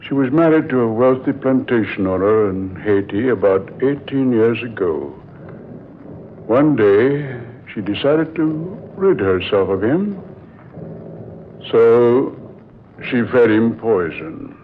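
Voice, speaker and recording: An actor playing a grave doctor who calmly unveils a deadly secret in an old-time radio drama, with measured, deliberate storytelling. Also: male voice, medium pitch. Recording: muffled, noisy old radio recording.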